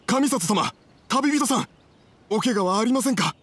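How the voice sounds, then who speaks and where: An anxious, animated gentleman merchant. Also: extremely high pitch, normal speed, a little hiss or hum. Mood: grateful, flustered. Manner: deferential, flustered, formal. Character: polite, anxious adult gentleman merchant, humbly grateful. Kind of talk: anime game voice acting. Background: background music.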